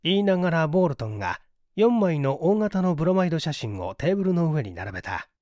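Man, high voice, animated pitch, theatrical mystery narration, dry close recording. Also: a little fast, dry recording. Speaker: audiobook reader. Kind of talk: scripted literary narration of a mystery story.

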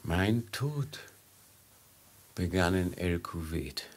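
An actor playing a brooding, fatalistic merchant in a 1950s radio play, with a husky, gravelly voice and halting, weighty delivery. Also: male voice, low-pitched, lively intonation, full character voice. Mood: regretful, musing. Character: brooding male merchant from Damascus, dazed and fatalistic.

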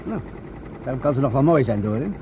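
An actor playing a jovial, chatty older police gentleman in a Dutch radio drama. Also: medium pitch, lively intonation, brisk, music in the background, lightly acted. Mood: relaxed, curious. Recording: clear boat and water noise behind.

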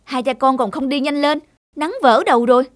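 A woman's voice: high-pitched, steady tone, a little fast, over loud music, close to an everyday voice. A woman voicing an impatient, hot-tempered young mother who scolds, in a cartoon dub. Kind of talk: cartoon dubbing.

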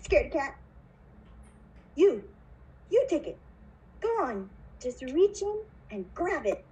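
Puppet show role: eccentric chocolate factory boss played by a woman, very high, chirpy, widely swooping voice. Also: slow, heavily acted, poor audio. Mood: bossy, excited. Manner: theatrical, animated.

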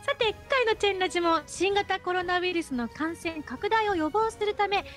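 Woman radio host w really high voice, chatty but running the show, faint music behind. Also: animated, moderate pace, fairly bright tone. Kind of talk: casual scripted radio hosting and chat.

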